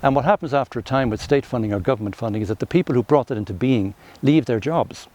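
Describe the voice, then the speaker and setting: A man's voice: mid-range voice, fast, a little mellow, a little hiss or hum. A man, a sculptor, explaining his work in a documentary interview in a reflective, loose way.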